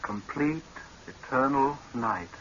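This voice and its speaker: An earnest young scientist in a vintage radio drama. He is deferential and formal, with a bright, forceful voice at medium pitch. The sound is dull with faint hiss. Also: expressive, takes it slowly. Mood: grave, explanatory. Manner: formal, respectful, explanatory. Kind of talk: 1930s-40s radio drama acting. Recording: dull, hissy old radio recording.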